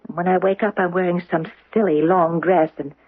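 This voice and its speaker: Submissive young woman, bewildered, pleading, childlike, faintly husky, radio play, muffled vintage sound. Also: fairly low pitch, fairly even intonation, fairly quick. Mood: weary, wistful. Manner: plaintive, repetitive, childlike pleading. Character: submissive, bewildered young female singer under hypnotic control. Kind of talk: 1970s radio drama acting.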